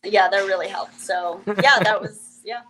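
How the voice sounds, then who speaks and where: A young woman from Ukraine with a Russian accent, a comedian chatting as a guest, wry and quick to laugh. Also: medium pitch, a bit bright, low-quality recording. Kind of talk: casual interview chat.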